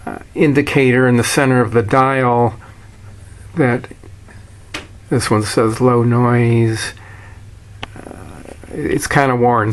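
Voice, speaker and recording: Male, unhurried and level, middle-pitched voice; plainspoken seller doing an informal demonstration. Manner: plainspoken, matter-of-fact. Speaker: seller demonstrating a vintage radio. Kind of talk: casual product walkthrough.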